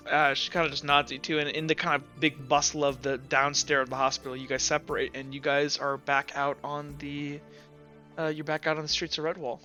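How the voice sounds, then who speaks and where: A man running a tabletop roleplaying game as game master, narrating off the cuff in a halting, conversational way. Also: a little high, level tone, sharp tone. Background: music.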